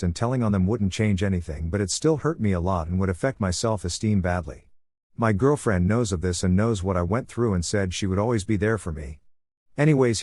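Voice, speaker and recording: Man, low voice, dry close sound, steady storytelling read. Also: dry recording. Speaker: story reader. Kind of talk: reading online posts aloud.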